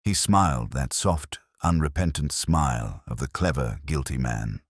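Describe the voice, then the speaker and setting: A man reading scripted first-person detective fiction in a measured, literary, brooding manner. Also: a bass voice, slightly rough, dry recording, studio quality. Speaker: narrator.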